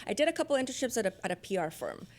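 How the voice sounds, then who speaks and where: A woman's voice: mid-range voice, very animated, rapid-fire, darkish tone. Female talk show guest from Los Angeles, mid-range voice, casual and anecdotal, TV presenter background. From born in Costa Rica, grew up in Los Angeles. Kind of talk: casual chat, telling career anecdotes.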